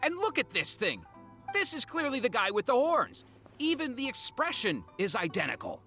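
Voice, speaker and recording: An actor voicing a stern, stubborn, officious police officer in a video game. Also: male voice, very high-pitched, wildly swooping pitch, moderate pace, sharp tone, faint music behind, heavily acted. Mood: accusing. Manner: accusing, officious.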